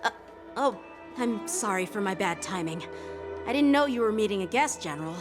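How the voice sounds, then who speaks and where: A woman's voice: mid-range voice, animated, normal speed, over music, natural voice. An actress voicing a polite, courteous young female officer. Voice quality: polite, formal. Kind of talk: video game voice acting.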